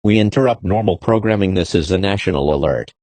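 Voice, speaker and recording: A man's voice: sits low, quick pace, heavy background noise. A man delivering a scripted emergency broadcast announcement in a formal, terse, official manner.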